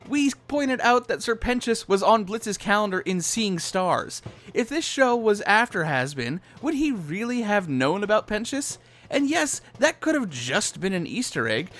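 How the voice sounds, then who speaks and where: A man's voice: sits high, a bit bright. A man co-hosting a fan recap, giving opinionated, casual commentary peppered with swearing. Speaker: co-host of a fan recap show. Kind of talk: animated fan commentary with ranting.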